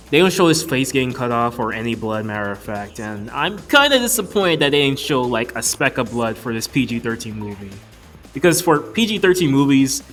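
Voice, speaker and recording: A man's voice: medium pitch, fairly bright tone. Casual man reviewing over background music. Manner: casual, opinionated, slangy. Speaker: video reviewer. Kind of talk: conversational film review commentary.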